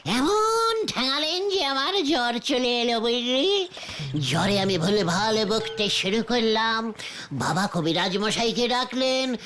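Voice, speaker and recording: A performer voicing an excitable, melodramatic comic uncle in a cartoon, theatrical and boastful. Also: mid-range voice, takes it slowly, a little hiss or hum. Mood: chatty, boastful. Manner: theatrical wailing, exclaiming and chuckling storytelling. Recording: loud music behind the voice.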